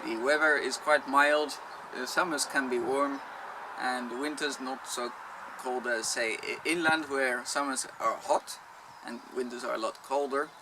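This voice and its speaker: A man's voice: medium pitch, fairly even intonation, unhurried. A man with a Dutch accent answering viewer questions in a casual vlog, explaining things in a plain, matter-of-fact way from outdoors on his land. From Netherlands. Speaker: vlogger. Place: outdoors on a rural property, wind and traffic. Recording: noisy outdoor recording with wind and traffic. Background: wind and road traffic.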